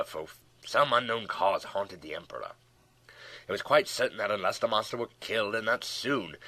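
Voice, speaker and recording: Male audiobook narrator, very low register, crisp bright edge, scripted storybook read, measured and expressive.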